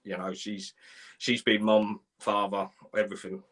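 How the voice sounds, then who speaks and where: A man in his forties from Ireland, telling his life story in a live interview, halting, with many restarts and repeated words. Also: fairly low pitch, level tone, fairly bright tone. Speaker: guest.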